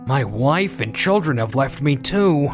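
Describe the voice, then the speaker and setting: An actor playing a defensive, middle-aged company executive in disgrace, stammering in a manga dub. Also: male voice, medium pitch, lively intonation, unhurried, over music, lightly acted. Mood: despairing.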